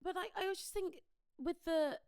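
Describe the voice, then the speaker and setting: A woman's voice: very high voice, a little fast, dry recording, studio quality. A British woman co-hosting a podcast, chatting casually about pop culture in an informal, opinionated way. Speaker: podcast co-host.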